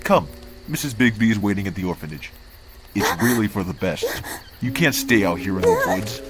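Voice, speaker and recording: A man's voice: lowish voice, animated, moderate pace, music in the background, close to an everyday voice. A man playing a cold, disdainful council envoy, speaking formally and condescendingly in a comic dub. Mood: threatening. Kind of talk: amateur comic dub acting.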